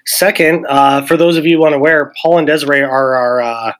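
A man hosting a podcast interview, relaxed and casual with hesitant fillers. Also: on the high side, normal speed. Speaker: podcast host.

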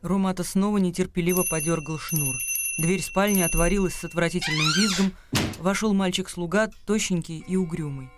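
Male narrator for radio play, notably high register, literary storytelling, light music behind. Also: fairly even intonation, brisk.

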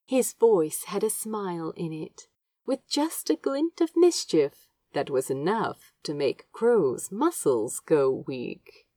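A woman's voice: on the low side, sing-song, takes it slowly, warm tone, no echo. A woman reading fiction aloud as an amateur audiobook narrator, with tender, dramatic storytelling. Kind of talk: expressive fan fiction narration.

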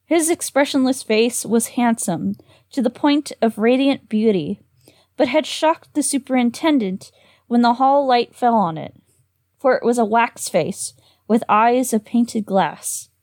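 Woman, higher voice, slight lisp, dry close sound, reading a spooky story aloud. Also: a little slow, a little mellow, no room sound. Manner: giggly, trips over words. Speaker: reader and co-host. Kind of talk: reading a horror story aloud with chat breaks.

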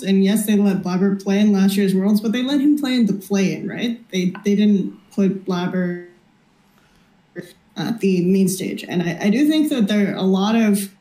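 A woman's voice: mid-range voice, dark tone, reverberant, low-quality recording. A female co-host on an esports talk show, casually building her argument point by point. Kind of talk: casual opinion chat.

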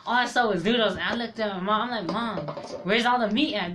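A man's voice: high voice, a little fast, bright, forceful tone. A young man co-hosting a casual podcast, telling a story in loose slang and swearing freely. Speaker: podcast co-host. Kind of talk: casual chat. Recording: noisy home recording.

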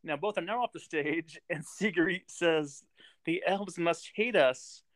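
A man from the United States hosting a casual movie podcast, halting with many ums and frequent laughs. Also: a little high, a bit bright, no room sound, studio quality. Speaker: podcast host.

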